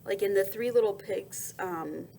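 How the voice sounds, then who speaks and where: A woman reading a children's storybook aloud in an expressive, dramatic storytelling manner. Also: mid-range voice, unhurried, creaky. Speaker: storybook reader.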